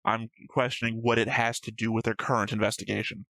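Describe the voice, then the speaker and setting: A man's voice: lowish voice, flat delivery, fairly quick, bright, forceful tone, dry recording. A man hosting a reaction show, reading out notes and discussing them casually in a matter-of-fact, slightly pedantic way.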